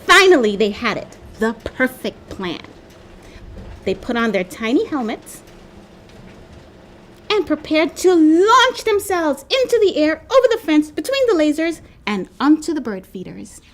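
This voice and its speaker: A woman reading a children's picture book aloud with expressive, animated storytelling. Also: sits high, unhurried. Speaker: storybook reader.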